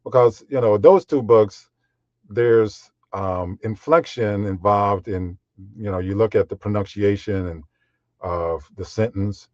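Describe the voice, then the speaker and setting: A man with a deep voice, a guest voiceover artist speaking in a measured, unhurried way with frequent fillers in a podcast interview. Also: a little mellow, dry recording, muffled recording.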